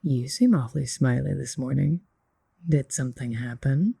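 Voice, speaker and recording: A woman plays a prying, coffee-deprived co-worker in an audio drama. Her voice is low, dark and husky, delivered dryly. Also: expressive, moderate pace, dry recording, clean studio sound, exaggerated voice. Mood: teasing, curious. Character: nosy, caffeine-starved female co-worker.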